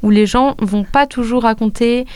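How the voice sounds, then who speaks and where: Brief: woman explaining her view in a group chat, smooth higher voice, dry close sound. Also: normal speed, no echo. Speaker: discussion participant. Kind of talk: casual group discussion.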